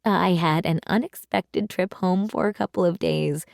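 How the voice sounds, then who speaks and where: A woman voicing an affectionate, adventurous older grandmother in a children's storybook, gentle and doting. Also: on the low side, normal speed, vocal fry, dry recording, clean studio sound. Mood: fond.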